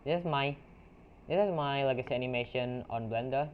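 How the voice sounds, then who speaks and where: A young man from Indonesia with an Indonesian accent, a non-native English speaker walking through a software tutorial in a halting way, with restarts and fillers. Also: mid-range voice. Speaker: tutorial creator. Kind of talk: software screen-recording tutorial. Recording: tinny lo-fi mic with faint noise.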